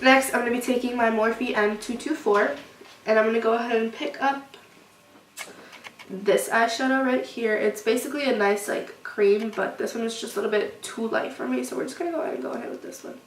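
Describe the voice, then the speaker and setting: A woman's voice: on the high side, steady tone, brisk, far from the mic. A young woman with a cold and a sniffly, stuffed-up sound talks through a makeup tutorial in a loose, chatty way full of fillers. Her voice comes from some way off the mic in a roomy space. A faint hiss sits behind it. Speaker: beauty vlogger.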